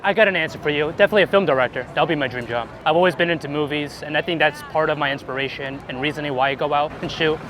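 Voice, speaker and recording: A man's voice: fairly high pitch, racing, hardly any pauses. A man being interviewed as a guest, chatting casually and informally on a busy city street. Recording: noisy outdoor city street with traffic.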